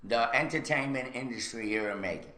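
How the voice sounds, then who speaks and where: A man's voice: mid-range voice, a little fast, pushed and bright. A man on a live talk show panel, bantering casually with teasing, slangy questions. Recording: roomy, echoey live webcast.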